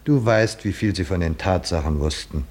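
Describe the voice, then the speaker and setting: An actor playing a solemn, nearly blind poet-seer in a 1950s German radio drama, admonishing a hero in a deliberate, oracular way. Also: male voice, low-pitched, a little mellow. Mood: insistent, reproachful.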